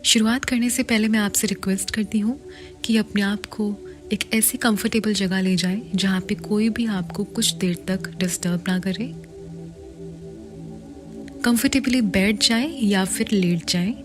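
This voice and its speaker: Slow, soft Indian woman guiding a meditation. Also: mid-range voice. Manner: soft, soothing, instructional, often dropping into a breathy whisper. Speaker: guided meditation host. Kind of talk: guided meditation narration. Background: background music.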